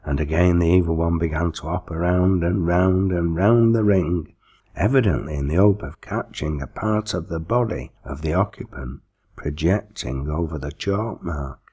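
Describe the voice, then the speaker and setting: A man reading a story aloud as expressive, theatrical, deliberate scripted narration. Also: sits low, husky. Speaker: audiobook reader.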